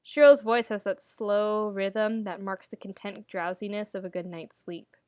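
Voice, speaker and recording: Woman, audiobook-style narrator, expressive delivery, wry dramatic emphasis, dry close sound. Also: mid-range voice, a little slow, no room sound. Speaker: podfic narrator reading fan fiction.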